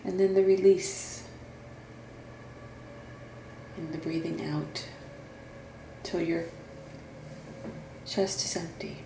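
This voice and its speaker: A woman guiding a meditation in a soothing, gentle instructional manner, leaving long pauses between phrases. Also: lowish voice, little pitch movement. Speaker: meditation guide and therapist. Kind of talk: guided meditation narration. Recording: roomy echo, faint background noise.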